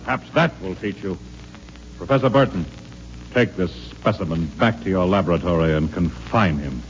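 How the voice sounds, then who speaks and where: An actor playing a cold, haughty, authoritarian director in a 1950s American radio drama, speaking in a clipped, formal, sardonic way. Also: male voice, low-pitched, moderate pace, music in the background, close to an everyday voice. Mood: coldly menacing. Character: cold, authoritarian male director of a dystopian state. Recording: muffled, humming old radio recording.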